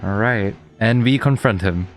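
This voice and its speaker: Mid-pitched streamer, casual commentary, chatty, informal, faint game music. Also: measured pace.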